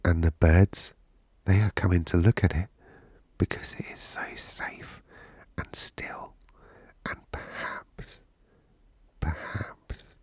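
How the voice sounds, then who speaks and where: A man voicing a gentle young girl in a storybook, speaking slowly in a soft, soothing drone. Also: sits low, steady tone, slightly rough, dry recording, whispered, heavily acted. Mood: soothing, dreamy. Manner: slow, hushed, droning.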